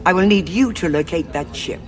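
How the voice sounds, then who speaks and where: An actress playing an authoritative female cardinal who speaks in a cool, measured way. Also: lowish voice, faint music behind, lightly acted. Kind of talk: audio drama acting.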